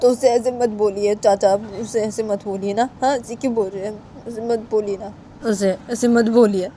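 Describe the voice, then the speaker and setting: Lady playing a girl in a story, soft pleading voice, sticking up for someone again and again. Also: medium pitch, steady tone, normal speed, slight background noise, voice pushed up, natural voice. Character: pleading, protective young girl defending her friend.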